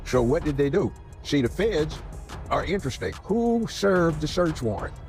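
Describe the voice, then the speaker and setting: Gravelly man giving pointed legal commentary. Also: fairly high pitch, big pitch swings, moderate pace, over music. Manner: asks rhetorical questions and answers them. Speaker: legal commentator with criminal defense background. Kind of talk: opinionated conversational commentary.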